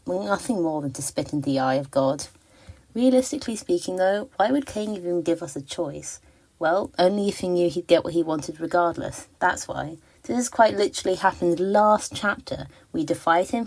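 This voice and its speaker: A woman with a fairly low voice records a message as a listener. Her fan commentary sounds prepared, delivered with wry, playful drama. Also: darkish tone.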